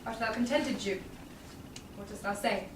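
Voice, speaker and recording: A woman's voice: on the high side, steady tone, normal speed, close to an everyday voice. A woman playing a composed, clever lawyer in disguise in a Shakespearean stage reading. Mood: stern. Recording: distant echoey small theatre, background noise.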